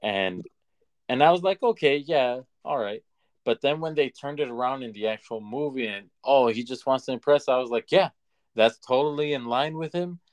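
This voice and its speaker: A man co-hosting a podcast in casual conversation, opinionated and halting as he restarts his points. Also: mid-range voice. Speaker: podcast co-host. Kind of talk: casual chat.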